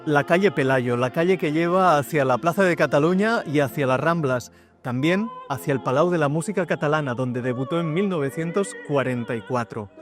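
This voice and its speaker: A man from narrating a short documentary about his book, speaking in a measured, informative way. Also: fairly high pitch. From Spain. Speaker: author presenting his book. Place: outdoors in city streets.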